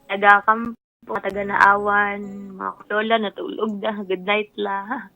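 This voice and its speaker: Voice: medium pitch, flat delivery, unhurried, sharp tone, no room sound, dull audio. A radio phone-in caller with a Bisaya Filipino accent, chatting casually and hesitantly, searching for words. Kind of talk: casual chat.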